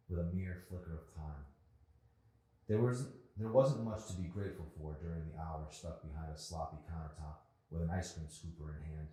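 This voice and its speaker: A man's voice: deep voice, mellow. A man reading a novel aloud as an audiobook narrator. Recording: very echoey, reverberant room.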